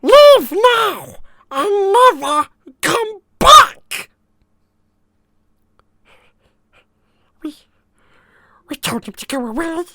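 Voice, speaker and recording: Slimy raspy cave creature, wretched n spiteful, hissy, high pitched and dragging words out. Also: male voice, sing-song, bright, forceful tone, no room sound, exaggerated voice. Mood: defiant, exultant. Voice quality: raspy, hissing, creaky. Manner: slow muttering, swinging between whimper and shriek.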